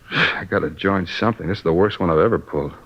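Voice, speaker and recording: A man's voice: low-pitched, fast talker, fairly bright tone, breathy edge. An actor playing a sheepish hungover salesman being questioned by police, groggy and slangy, in a 1950s radio crime drama. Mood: uneasy. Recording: dull lo-fi old radio recording.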